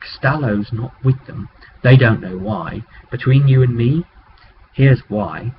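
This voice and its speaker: A man talking casually and haltingly as a game master recapping a tabletop game, rambling with conspiratorial asides. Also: mid-range voice. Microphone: webcam microphone. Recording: muffled webcam mic with background noise.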